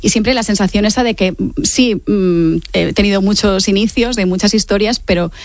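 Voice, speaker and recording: A woman's voice: medium pitch, moderate pace, a little mellow, no room sound. A woman in her late forties with an accent from Spain, answering interview questions in a reflective, explanatory way with frequent tag questions. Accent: Spanish from Spain. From Mallorca, Spain. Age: late 40s. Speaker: author, interview guest.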